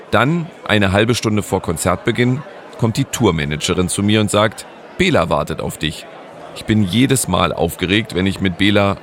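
A man narrating his own podcast episode in a calm, scripted storytelling manner. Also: fairly low pitch.

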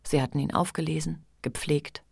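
Low, creaky-voiced woman narrator. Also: steady tone, moderate pace, background noise. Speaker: narrator of a radio play. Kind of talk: literary scripted narration.

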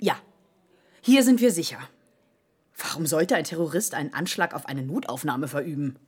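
A woman's voice: low voice, expressive, moderate pace, a little mellow, husky. An actress playing a gossipy, well-informed woman in a radio play, telling stories earnestly. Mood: assured. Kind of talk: German radio play acting.

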